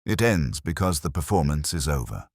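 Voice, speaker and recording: A man voicing a calm, cutting police inspector in a mystery story, speaking deliberately and theatrically. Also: rumbling low, no echo, clean studio sound, full character voice. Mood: cutting, triumphant.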